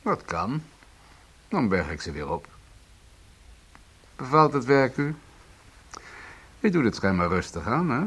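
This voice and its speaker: A man's voice: mid-range voice, sing-song, slow pace, long pauses, a bit bright, husky, heavily acted. A man playing a smug, menacing police sergeant in a radio play, mock-polite and needling in manner. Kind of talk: Dutch radio drama dialogue.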